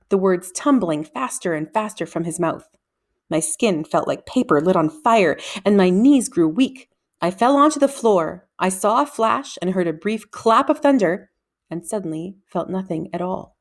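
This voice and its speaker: Female read-aloud narrator for children's fiction, expressive and dramatic, with a mid-pitched, very animated delivery. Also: measured pace.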